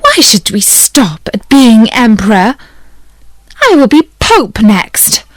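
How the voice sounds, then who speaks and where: A woman's voice: on the high side, very animated, slow pace, no echo. A woman doing a haughty, greedy fairy-tale wife. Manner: demanding, haughty. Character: greedy, imperious wife of a poor fisherman.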